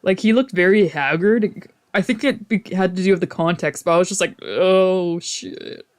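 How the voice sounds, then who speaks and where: A man's voice: high voice, moderate pace. A man streaming a visual novel, chatting informally and reading aloud, reacting and often correcting himself. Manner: informal, reactive, swearing, trailing off and self-correcting. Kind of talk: casual let's play commentary mixed with read-aloud narration.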